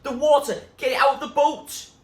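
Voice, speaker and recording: A man's voice: extremely high pitch, fairly even intonation, normal speed, reverberant, pitched above the performer's own voice. A man voicing an anxious, desperate girl in a dramatic storybook reading. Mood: panicked yelling. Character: Zoe, anxious girl out at sea, desperate and shouting.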